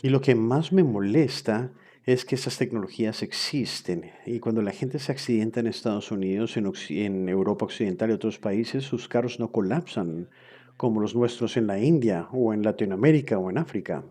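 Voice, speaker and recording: A man's voice: fairly low pitch, moderate pace, dark tone. A man interpreting a webinar lecture into another language in real time, hesitant, with frequent fillers and self-corrections.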